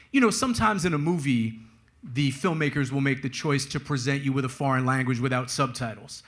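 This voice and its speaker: A man's voice: on the high side, a bit bright, a little hiss or hum. Wry man telling stories at a book talk. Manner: wry, casual and slangy. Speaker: author, guest speaker. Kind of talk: conversational storytelling at a live book talk.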